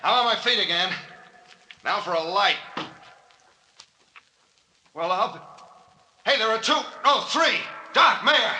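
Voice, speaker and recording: An actor playing a commanding, wry, tough captain who gives clipped orders and wisecracks in old-time radio style. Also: male voice, high voice, expressive, takes it slowly, pauses often. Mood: urgent, excited. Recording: noisy old radio recording with clicks.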